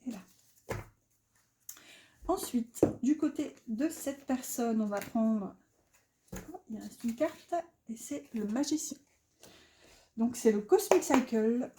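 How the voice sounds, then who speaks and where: A woman's voice: fairly high pitch, expressive, slow, pauses often, background noise. A middle-aged woman giving a casual tarot reading, chatty and rambling, with many fillers and restarts. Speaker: tarot reader.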